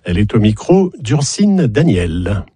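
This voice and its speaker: Guy reading the radio news, husky voice, bouncy intonation, wry little asides. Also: lowish voice, big pitch swings, measured pace, dark tone, no echo. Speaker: news presenter.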